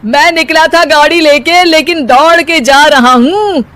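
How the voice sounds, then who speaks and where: A man's voice: extremely high pitch, unhurried, bright, forceful tone, heavily acted. A voice actor playing a lazy young husband in a cartoon who lives off his in-laws and recites rhyming couplets with comic flourish. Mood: showy. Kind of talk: cartoon voice acting.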